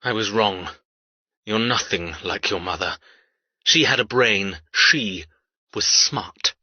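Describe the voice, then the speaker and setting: A man playing a cold, domineering older patriarch in an audiobook, raspy, with clipped, formal, commanding speech. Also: on the low side, slow pace, sharp tone, dry recording, lo-fi sound, full character voice. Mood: cold contempt. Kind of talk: dramatic audiobook character dialogue.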